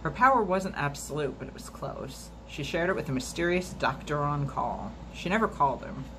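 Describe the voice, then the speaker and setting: A woman reading a memoir aloud for a storytime video at a steady, measured pace, now and then stumbling and restarting a word. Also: low-pitched, background noise. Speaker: storytime reader.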